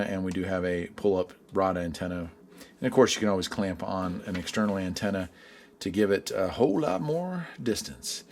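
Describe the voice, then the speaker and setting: Low-voiced man chatting through a product review. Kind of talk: casual product review chat.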